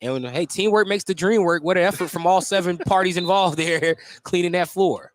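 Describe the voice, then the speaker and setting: A man with a notably high voice calls a basketball game live. He works as the play-by-play announcer, following the action in short radio phrases with a light, joking touch. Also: moderate pace, dry recording. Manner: jokey, often chuckling.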